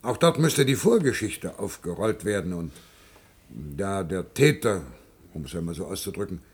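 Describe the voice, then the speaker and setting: A man's voice: low voice, expressive, normal speed, husky, exaggerated voice. An actor playing a hesitant, formal committee chairman in a radio play, officious and stammering. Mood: hesitant, anxious. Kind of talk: German radio play acting.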